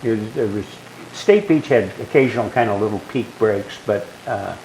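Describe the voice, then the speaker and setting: Older man with a low growly bass voice. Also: moderate pace, a little mellow, a little hiss or hum, rough recording. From Southern California. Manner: rambling, many restarts and fillers. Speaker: guest being asked questions. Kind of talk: casual group conversation.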